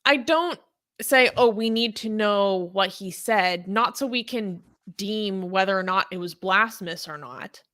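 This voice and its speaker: A woman co-hosting a casual news discussion, chatty with many fillers, reading stories aloud and reacting with animated commentary. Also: a little slow. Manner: animated, rises in pitch when outraged.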